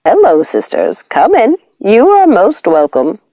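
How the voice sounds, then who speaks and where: A woman's voice: medium pitch, huge pitch swings, a little slow, mellow, dry recording, studio quality. A woman voicing a very old, gracious nanny with a courteous, composed manner in a storybook. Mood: welcoming.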